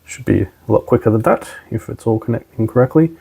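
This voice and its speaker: A man's voice: mid-range voice, quick pace, vocal fry, dark tone, breathy edge. A man presenting a hands-on product tutorial, talking informally and instructing step by step as a trainer. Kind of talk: casual hands-on tutorial narration.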